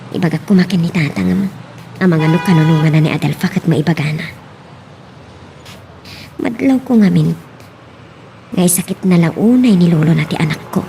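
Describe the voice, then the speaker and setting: A woman playing a worried, watchful mother with a Filipino accent, speaking deliberately in an audio drama monologue. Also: low-pitched, creaky, noisy background.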